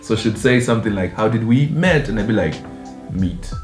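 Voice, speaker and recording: Chatty Ugandan man, low voice. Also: a little fast. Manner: relaxed, chatty, laughs often. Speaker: co-host of a couple's channel. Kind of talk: casual storytelling chat. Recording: echoey room with guitar music.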